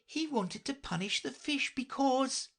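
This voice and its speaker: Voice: sits high, animated, unhurried, creaky, a bit bright, voice pushed up, full character voice. A reader voicing an earnest, polite young girl in a storybook audiobook, speaking in a careful, grave manner. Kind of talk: audiobook character voice.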